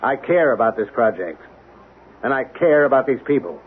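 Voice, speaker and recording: A male actor playing an earnest, protective middle-aged colonel in a 1970s radio drama, speaking in a measured, emphatic way with pointed rhetorical questions. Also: mid-range voice, very animated, slight background noise, lightly acted. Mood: indignant, pleading. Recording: tinny, band-limited 1970s radio recording.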